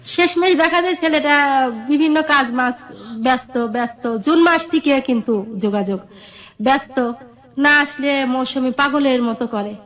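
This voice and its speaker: A woman's voice: high voice, moderate pace, a bit bright. A middle-aged woman with a Bangladeshi accent, earnestly telling her life story in an interview, repeating herself and quoting conversations as she goes. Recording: dull, muffled lo-fi audio.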